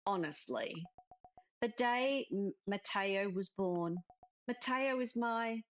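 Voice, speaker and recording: A woman's voice: mid-range voice, animated, takes it slowly, faint music behind. A woman reading the part of a troubled, grieving musician in a visual novel, hesitant and defensive. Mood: hesitant, honest.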